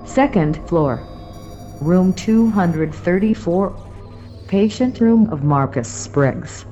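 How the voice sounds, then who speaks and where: An impassive female announcer setting scenes in an audio drama. Her low voice is slow and measured, in dull lo-fi sound over faint music. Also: lively intonation, dark tone. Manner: measured, clipped phrases.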